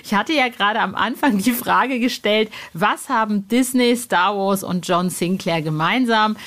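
A woman presents a podcast in a casual, chatty style. Her pitch sits in the middle for a woman, and she talks colloquially with bubbly informality, as if to regular listeners. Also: a little slow, a bit bright. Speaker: podcast host.

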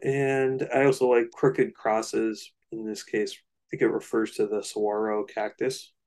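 A man co-hosting a casual music discussion, analytical and somewhat halting as he thinks aloud. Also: on the low side, little pitch movement, a little mellow. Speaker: co-host of a music discussion show.

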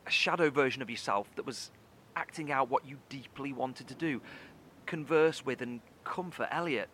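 Earnest, inquisitive man played by an actor, faint rumble. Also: moderate pace, a bit bright, natural voice. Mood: intrigued, urgent. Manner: probing, analytical, earnest. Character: inquisitive, earnest man piecing a mystery together. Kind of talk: horror audio drama acting.

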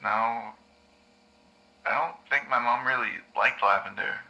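A man playing a troubled, unsure therapy patient in an audio drama, talking haltingly. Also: mid-range voice, sharp tone, low-quality recording. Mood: hesitant, doubtful. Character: troubled, hesitant male therapy patient with lost memories. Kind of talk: scripted audio drama dialogue.

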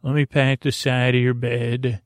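A man hosting a bedtime podcast, talking close to the mic in a meandering, hesitant, reassuring and gently goofy monologue. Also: mid-range voice, level tone, unhurried, no room sound. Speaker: podcast host. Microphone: close to the microphone.

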